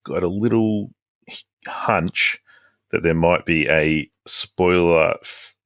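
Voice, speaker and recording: A man's voice: deep voice, slow, fairly bright tone, no echo. A man co-hosting a casual board game podcast, dry and teasing. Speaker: podcast co-host. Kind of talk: casual chat.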